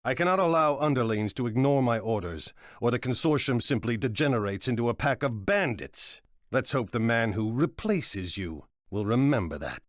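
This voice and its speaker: An actor playing a cunning, ruthless crime lord in a video game, speaking in a measured, condescending and deliberate way. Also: male voice, medium pitch, lively intonation, lightly acted. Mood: coolly stern. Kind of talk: dramatic video game voice acting.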